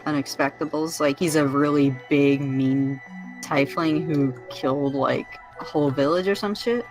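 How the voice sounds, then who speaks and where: A woman on a stream call, chatting casually in a relaxed, rambling way. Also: deep voice, normal speed, soft background music, poor audio. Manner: relaxed, rambling, says like often. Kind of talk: casual chat.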